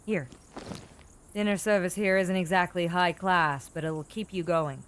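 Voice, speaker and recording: A woman's voice: on the low side, steady tone, unhurried, lightly acted. A woman playing a shrewd, world-weary woman in an audio drama, frank and cautioning but guardedly kind. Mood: wary concern. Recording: music under the voice.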